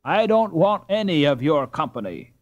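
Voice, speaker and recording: A man puts on a saucy young storybook girl with a raised pitch. She speaks emphatically and scornfully, with big swoops in her intonation. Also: very animated, normal speed. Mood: indignant. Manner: indignant, emphatic.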